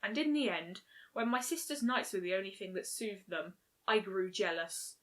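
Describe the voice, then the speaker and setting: A woman voicing a regal, guilt-ridden mare princess in a story reading, speaking in a measured, grave, formal way. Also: mid-range voice, a bit bright, lightly acted. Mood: solemn, resolute. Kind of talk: fan fiction story reading.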